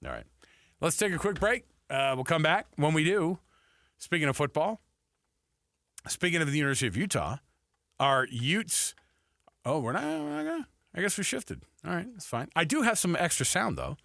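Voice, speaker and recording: A man's voice: a little high, very animated, moderate pace, vocal fry, long pauses, pushed and bright, no room sound. A man hosting a sports radio show, talking casually and repeating phrases for emphasis into a close studio microphone. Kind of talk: casual sports talk commentary.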